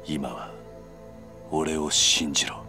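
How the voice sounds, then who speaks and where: A man's voice: very deep voice, slow pace, music in the background. A man playing a stoic, commanding veteran yakuza in a video game drama, terse, firm and protective. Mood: grave, resolute.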